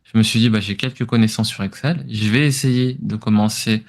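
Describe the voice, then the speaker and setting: A man with a fairly low voice and an accent from France speaks as an interview guest. He explains things informally, feeling his way through sentences with some hesitation. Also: fairly even intonation, brisk. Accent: French from France. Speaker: podcast guest, entrepreneur. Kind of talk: conversational interview answers.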